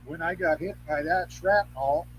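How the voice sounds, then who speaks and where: An older man talking as an interview guest, telling rambling anecdotes in a folksy, salty way, often halting and repeating phrases. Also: on the high side. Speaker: guest, Craft elder and teacher. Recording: clearly noisy background hum.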